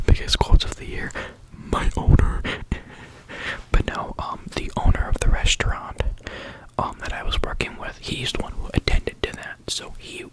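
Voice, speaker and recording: A tired young adult YouTuber telling a story in a soft unvoiced whisper, rambling with many likes and ums. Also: normal speed, poor audio.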